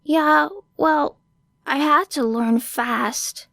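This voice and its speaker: A cunning young girl in an audiobook story , acted by a woman, playing hurt and timid. Her voice sits high and plaintive, halting between words, recorded close and dry. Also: vocal fry, fairly bright tone, dry recording, exaggerated voice. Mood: broken, wry.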